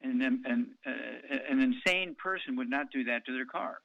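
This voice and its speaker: A man's voice: medium pitch, normal speed, creaky, dry recording, narrow, tinny audio. A man in his eighties hosting a call-in radio show, talking in a rambling way with many ums and uhs. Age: 80s.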